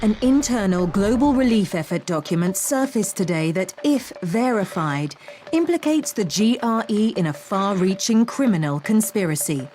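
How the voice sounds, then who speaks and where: An actress playing a formal news reporter reading a scripted bulletin in a video game. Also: female voice, mid-range voice, lively intonation, normal speed, warm tone, soft background music, close to an everyday voice. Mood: serious.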